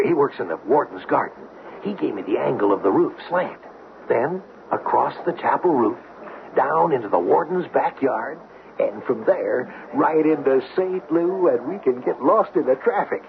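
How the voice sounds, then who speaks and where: A man's voice: medium pitch, gravelly. A man playing a scheming, cocksure convict in an old-time radio drama, talking in a folksy, urgent, confident way. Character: scheming, cocksure male convict planning a prison breakout. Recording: dull, noisy old radio recording.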